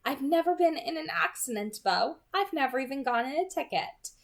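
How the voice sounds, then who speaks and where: A woman's voice: sits high, lively intonation, normal speed, creaky, voice pushed up. A woman voicing a teasing, guarded young supernatural woman in a novel read-aloud, with a casual, explaining manner. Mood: breezy, impatient.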